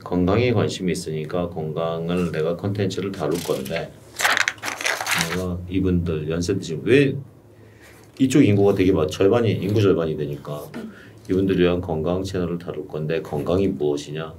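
Low dark-voiced man coaching. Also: normal speed. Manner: explanatory, steady, lists options patiently. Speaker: YouTube coach. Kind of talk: one-on-one consulting advice. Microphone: desk mic on stand, heard from a distance. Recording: distant mic with faint room noise.

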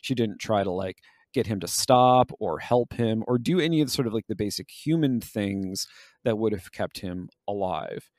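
A man co-hosting a podcast, explaining things casually in halting phrases that he often rewords. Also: fairly low pitch, expressive, a little mellow, no room sound, studio quality. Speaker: podcast co-host. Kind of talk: casual discussion of a video game.

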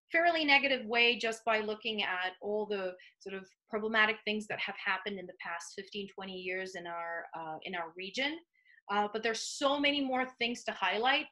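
A woman in her thirties from Serbia with a Serbian accent, speaking haltingly with many fillers and restarts in a video call interview from a small home office. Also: mid-range voice, bright, forceful tone. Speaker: art commissioner. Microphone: video call webcam.

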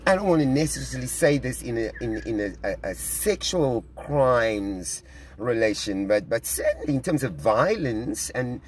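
A man's voice: medium pitch, very animated, a little hiss or hum, rough recording. A middle-aged man with a South African accent, recording himself in a car with his phone and talking earnestly about church news, often stopping and repeating words as he thinks. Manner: earnest, halting, many restarts and repeated words. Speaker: vlogger commenting on church news. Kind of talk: casual spoken commentary. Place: inside a parked car. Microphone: phone camera held at arm's length.

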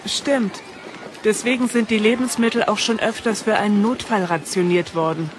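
A woman's voice: mid-range voice, fairly even intonation, moderate pace, background noise, lightly acted. A woman playing a sensible, bossy young leader of friends in an audio drama. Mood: matter-of-fact. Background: music.

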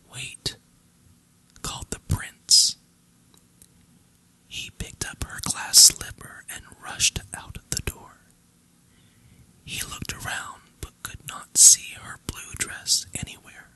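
Whispered fairy tale narration, breathy, bright hissy tone, very unhurried, deliberate pauses. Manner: slow, deliberate, with long pauses. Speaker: storyteller.

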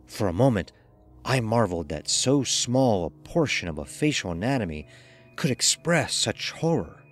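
A man narrating a horror story in the first person with deliberate, dramatic delivery. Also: on the low side, very animated, faint music behind.

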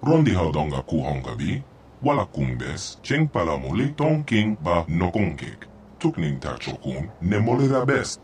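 An actor playing a stubborn alien guide in a video game, speaking an invented tongue in an emphatic singsong. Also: male voice, deep voice, big pitch swings, normal speed, darkish tone, a little hiss or hum. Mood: insistent.

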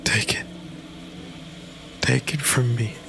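A man voicing a cursed, weary, grieving father in a dark fantasy audio drama, strained and anguished. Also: medium pitch, fairly even intonation, slow pace, creaky, background noise, voice pushed up. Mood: anguished pleading. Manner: pleading, strained, raised voice.

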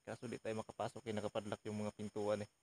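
A man's voice: fairly low pitch, completely flat delivery, quick pace, a little mellow, no room sound. A young man giving casual commentary as he explores outdoors at night for a vlog. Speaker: vlog host, ghost hunter. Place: outdoors at night around an abandoned building. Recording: noisy outdoor recording with insects.

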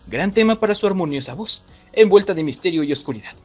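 High-pitched, animated man narrating. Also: fast, slightly rough, soft background music. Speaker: video host. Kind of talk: scripted narration for a music trivia video.

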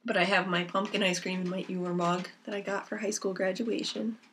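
A young woman hosting a knitting podcast from home, chatting casually to her laptop with lots of ums, her voice a little raspy from a lingering cough. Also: mid-range voice, little pitch movement, a little fast, fairly bright tone, slight background noise. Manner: chatty and rambling, with many ums. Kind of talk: casual chat to camera. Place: small room at home, indoors. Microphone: laptop camera mic. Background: rain outside.

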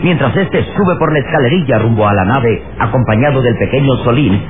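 A man's voice: medium pitch, lively intonation, fairly quick, narrow, tinny audio, noisy background. A man giving dramatic scripted narration as narrator of an old radio serial. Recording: band-limited old radio serial, music.

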